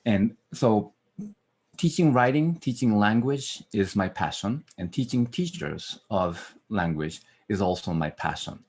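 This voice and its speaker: A man's voice: on the low side, expressive, a little slow, darkish tone, breathy edge. A middle-aged man from Japan with a Japanese accent, giving an academic lecture over a video call, earnest and full of "uh" fillers. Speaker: guest lecturer.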